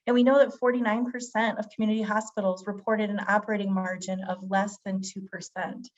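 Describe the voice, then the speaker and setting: A woman physician giving a webinar presentation, her voice a little scratchy as she gets over a cold. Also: medium pitch. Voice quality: slightly scratchy. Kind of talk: webinar presentation, semi-scripted. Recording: dull, band-limited webinar audio.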